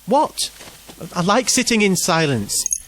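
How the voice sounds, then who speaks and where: Awkward young bloke, highish and swingy, mutters and grumbles. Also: male voice, very animated, slow pace, fairly bright tone, a little hiss or hum, full character voice. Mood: exasperated. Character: insecure, hesitant young man, grumbling and self-doubting. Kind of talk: naturalistic comic audio drama acting.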